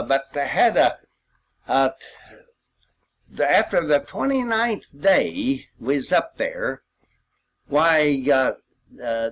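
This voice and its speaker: Old country guy, kinda high voice that goes up and down a lot, takes his time telling folksy war memories. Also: a bit bright. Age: 80s or older. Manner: folksy, rambling storytelling with old-time expressions. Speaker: World War II veteran sharing memories. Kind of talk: informal spoken reminiscence.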